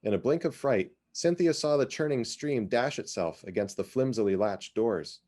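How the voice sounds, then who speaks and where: A man giving a dramatic reading of literary fiction, with expressive and deliberate phrasing. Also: mid-range voice.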